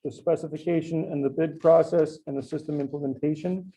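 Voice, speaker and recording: A man's voice: on the high side, steady tone, a little fast, warm tone, a little hiss or hum, rough recording. A man speaking as a town official in a public meeting, summing up documents. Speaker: town official at a bid opening.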